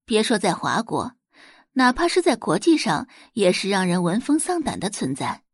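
A woman's voice: mid-range voice, husky, no echo, studio quality. A woman narrating a novel as an audiobook, in a dramatic scripted storytelling style.

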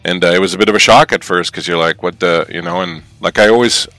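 A man's voice: deep voice, quick pace, sharp tone, no echo, faint music behind. A young man from Canada, a guest in a casual chat, talking thoughtfully with plenty of fillers. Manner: thoughtful, rambling, many fillers.

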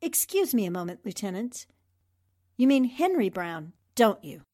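A woman playing a sharp, polite, articulate newspaper reporter in 1940s radio drama style. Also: mid-range voice, very animated, normal speed. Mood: probing.

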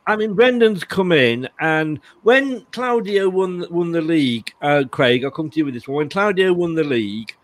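A man hosting a casual chat show, chatty and quick to laugh, with many restarts. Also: on the high side, expressive. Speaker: host.

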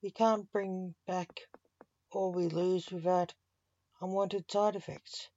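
A woman's voice: on the low side, steady tone, takes it slowly, darkish tone. Woman voicing a firm, worried father, roomy sound. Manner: firm, snapping. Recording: roomy echo, slightly dull sound.

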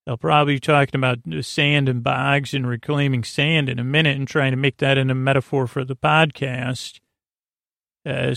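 A man hosting a bedtime podcast in a creaky voice, meandering soothingly through halting false starts and tangents. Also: medium pitch, fairly bright tone, no room sound, studio quality. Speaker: host of a bedtime sleep podcast. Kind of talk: rambling, soothing bedtime monologue.

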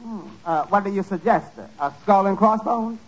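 A high-pitched, wry waiter. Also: male voice, normal speed. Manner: wry, sardonic. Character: wry, deadpan male tavern waiter puncturing his boss. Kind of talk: 1940s radio comedy acting. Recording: very noisy, muffled 1940s radio recording.

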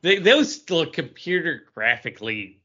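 A man's voice: a little high, very animated, unhurried, sharp tone, highs cut off. A man co-hosting a podcast, talking casually and giving his opinions on what he reviews. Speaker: podcast co-host reviewing comic books.

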